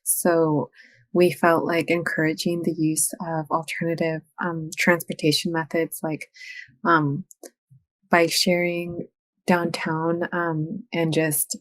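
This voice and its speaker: A young woman, a student answering interview questions about her team in a measured way, with frequent ums. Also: deep voice, dry recording.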